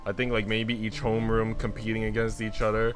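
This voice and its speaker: A man's voice: fairly low pitch, completely flat delivery, a little fast. A man streaming casual game commentary, speaking in a slangy, incredulous way. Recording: background music.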